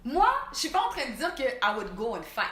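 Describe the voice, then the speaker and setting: A woman's voice: a little high, big pitch swings, brisk, a bit bright. A young woman with a Quebec French accent co-hosting a casual chat, switching freely between French and English slang. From Montreal. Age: young adult. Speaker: co-host. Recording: echoey room with faint ambience.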